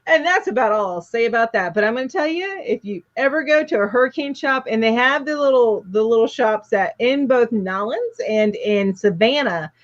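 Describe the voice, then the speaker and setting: A woman's voice: on the high side, expressive, normal speed, hardly any pauses, lo-fi sound. A woman co-hosting a live crochet show over a video call, chatting casually, laughing often and greeting viewers by name. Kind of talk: casual chat on a livestream.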